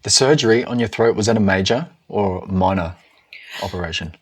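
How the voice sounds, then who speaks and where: A man hosting an interview, calmly affirming and asking gentle questions. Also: on the low side, brisk. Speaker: podcast host. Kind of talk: conversational interview.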